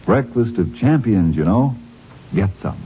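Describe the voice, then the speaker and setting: A man's voice: on the low side, lively intonation, a little slow, heavy background noise. A man as a radio announcer in 1950s ad banter, with folksy salesman's patter. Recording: muffled old radio broadcast.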